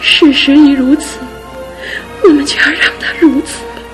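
A steadfast devoted young fiancée. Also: female voice, high voice, normal speed, faint music behind. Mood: resolute. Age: young adult. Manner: earnest and pleading, steady resolve. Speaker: radio drama actress. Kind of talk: broadcast radio drama acting.

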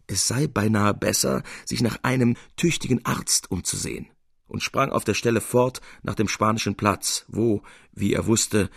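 A man reading literary prose as a narrator, in a measured and expressive storytelling manner. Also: fairly low pitch, gravelly, husky, dry recording, studio quality.

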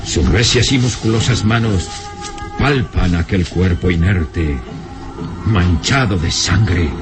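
A man's voice: low-pitched, takes it slowly, music in the background. An actor as a suspenseful narrator of a radio serial, formal and emphatic in his dramatic phrasing. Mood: solemn, dramatic.

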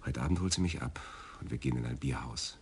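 An actor playing a carefree young police sergeant in a German radio crime drama, breezy and teasing. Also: male voice, rumbling low, quick pace, husky, heavily acted. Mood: cheerful.

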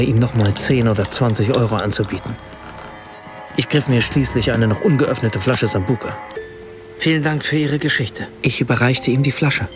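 A man's voice: mid-range voice, close to an everyday voice. An actor playing a troubled, hesitant writer who narrates his encounter in a reflective, self-questioning way in a radio play. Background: music.

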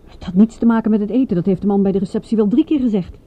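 An actress playing a composed, practical detective's companion in a radio drama, matter-of-fact with a light dry touch. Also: female voice, medium pitch, very fast, natural voice. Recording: dull, muffled radio recording.

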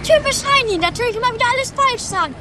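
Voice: very high voice, expressive, fast, background noise. A performer playing a vain, quarrelsome sister, cheeky and indignant, in an amateur absurd comedy radio play.

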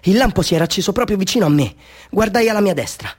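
Husky, fervent radio-drama storyteller. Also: male voice, high-pitched, lively intonation, fast. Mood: excited. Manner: theatrical, swings between hushed confiding and fervent outbursts. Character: excitable amateur photographer, a fervent, self-doubting male storyteller.

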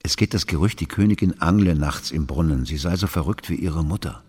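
An actor playing a stern, patronising royal councillor in a radio play, speaking in a formal, measured, admonishing way. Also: male voice, rumbling low, a little mellow, husky. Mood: stern, cautious. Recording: echoing hall with long reverb.

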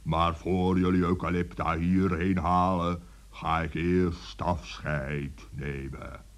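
An actor playing a kind, dignified giant in a children's radio play, solemn and measured. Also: male voice, deep voice, steady tone. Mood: solemn, kindly.

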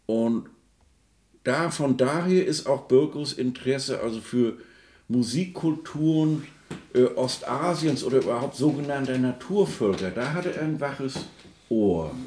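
A man's voice: medium pitch, expressive, a little slow, darkish tone, echoey room, slight background noise. A man giving spontaneous, discursive explanations as a music expert, searching for words and often seeking agreement.

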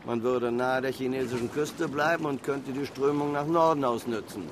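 A gruff, practical harbour pilot, as a man plays him in a radio play. He talks bluntly and warily, with clear noise from the harbour and the water running behind him. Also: mid-range voice, fairly even intonation, moderate pace, natural voice. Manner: blunt, down-to-earth, wary.

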